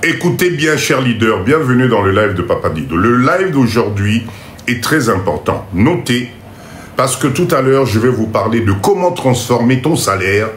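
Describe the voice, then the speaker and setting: Congested Congolese man, animated motivational streamer. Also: mid-range voice. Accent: Congolese French. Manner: emphatic, repetitive, urging listeners. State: congested nose from a cold. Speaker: motivational live-stream host. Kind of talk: motivational live talk to viewers. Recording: roomy echo, faint background noise.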